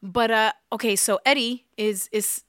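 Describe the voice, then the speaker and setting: A woman's voice: fairly high pitch, fairly even intonation, unhurried, a bit bright, no echo, studio quality. A woman co-hosting a faith podcast with her husband, talking in an earnest, emphatic way. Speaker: podcast co-host. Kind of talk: casual chat.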